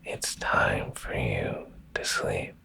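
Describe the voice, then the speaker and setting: A man speaking in a soft, hushed, soothing ASMR style, reading slowly like a bedtime storyteller, close to the microphone. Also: rough recording. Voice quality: very deep, gravelly, breathy near-whisper.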